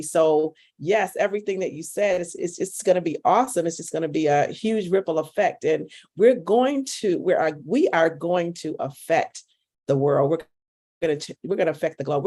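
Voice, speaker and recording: A woman's voice: lowish voice, darkish tone. A woman giving a fervent, upbeat testimonial on a call, repeating herself as she builds enthusiasm. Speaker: caller sharing a testimonial.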